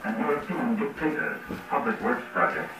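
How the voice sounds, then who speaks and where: A man's voice: mid-range voice, normal speed, noisy background. A man reading scripted historical narration as a documentary narrator.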